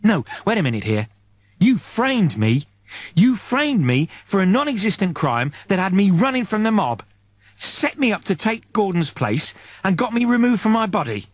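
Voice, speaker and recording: A man's voice: sits high, sing-song, moderate pace, dry recording, voice pushed up. A man voicing an aggrieved, bewildered everyman in an audiobook, questioning and protesting. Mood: angry, indignant. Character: bewildered, aggrieved everyman, indignant and self-doubting.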